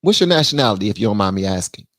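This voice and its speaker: Blunt, animated man hosting a stream. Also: lowish voice, very fast, dry recording, studio quality. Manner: animated, drops into mock voices; blunt, slangy. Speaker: livestream host. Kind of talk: political commentary chat.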